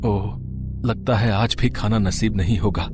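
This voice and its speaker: A man's voice: lowish voice, steady tone, unhurried, music in the background, natural voice. A man voicing a poor, humble, devout farm labourer and father in a moral story, meek and weary.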